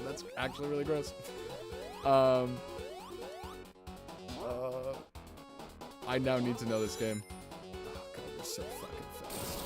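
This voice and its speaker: A man streaming a video game, chatting casually with viewers in an excitable, rambling way and swearing often. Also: on the high side. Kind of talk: casual gameplay commentary. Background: music.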